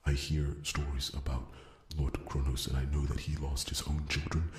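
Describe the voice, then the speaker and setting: A man playing a gentle, earnest dwarf blacksmith in an ASMR fantasy roleplay, stammering deferentially in a hushed half-whisper. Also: very deep voice, flat delivery. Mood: tender, earnest. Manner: halting, stammering, deferential, repeats his words. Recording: roomy echo, faint background noise.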